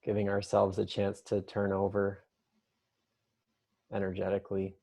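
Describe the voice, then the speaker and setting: A man leading an improvised, conversational guided meditation in a gentle, unhurried manner with long pauses. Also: low voice, monotone, vocal fry.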